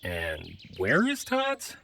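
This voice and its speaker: A man's voice: low voice, wildly swooping pitch, extremely slow, creaky, pushed and bright, a little hiss or hum, heavily acted. A man playing an earnest, mild traveler in an audio drama, speaking politely and a little hesitantly. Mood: polite, relieved. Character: earnest, mild man stranded in big robot body.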